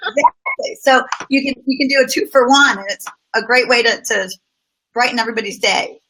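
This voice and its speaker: A woman's voice: fairly high pitch, sing-song, brisk, pushed and bright, husky, poor audio. A middle-aged woman talking through workplace experiences as a guest, thoughtful and full of personal examples. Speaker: guest speaker on business. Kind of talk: casual conversational interview.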